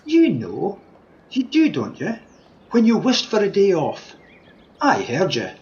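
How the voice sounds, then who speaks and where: A man's voice: high-pitched, huge pitch swings, slow pace, darkish tone, heavily acted. A man with a Scottish accent playing an insistent, cajoling wish granter disguised as a scruffy tramp in an amateur radio play, theatrical in manner. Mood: mysterious, insistent. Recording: dull remote home recording.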